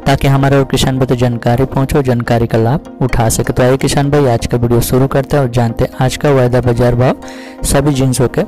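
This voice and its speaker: A man's voice: medium pitch, dark tone, low-quality recording. A man hosting a market update, reading out prices as scripted narration in a formulaic, repetitive recital. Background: music.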